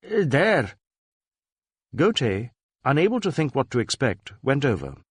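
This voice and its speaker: A man narrating a detective novel as an audiobook, with measured, dramatic storytelling. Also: mid-range voice, huge pitch swings, slightly rough, dry recording, studio quality.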